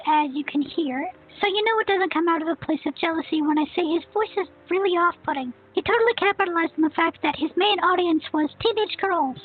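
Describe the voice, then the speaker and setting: A woman voicing a smug young boy savant who hosts a snarky scripted commentary rant. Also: very high voice. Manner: smug, snarky, self-assured. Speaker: commentary channel host. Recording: tinny phone-like sound, faint music.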